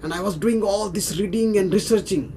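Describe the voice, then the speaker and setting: A man with an Indian accent playing a self-mocking amateur painter in a measured film monologue. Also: high voice, mellow, a little hiss or hum, natural voice, low-quality recording. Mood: rueful.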